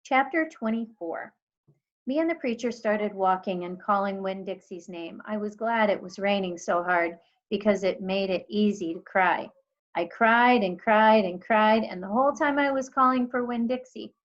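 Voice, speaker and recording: A woman teacher reading a children's storybook aloud in expressive narration. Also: medium pitch, normal speed, highs cut off.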